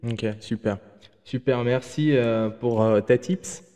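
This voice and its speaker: A man's voice: mid-range voice, slow pace, mellow, big-room sound. A man hosting a podcast interview, asking questions in a friendly, encouraging way with some fillers. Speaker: podcast host. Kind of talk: casual interview.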